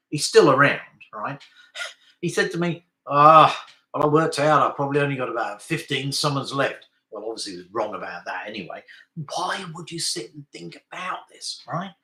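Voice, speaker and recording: A man chatting on his daily vlog in a bright, forceful voice whose pitch rises and falls a lot. He rambles and pokes fun at himself, and the sound carries a roomy echo. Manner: rambling and self-mocking, with frequent tag questions. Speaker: vlogger. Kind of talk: casual monologue to camera.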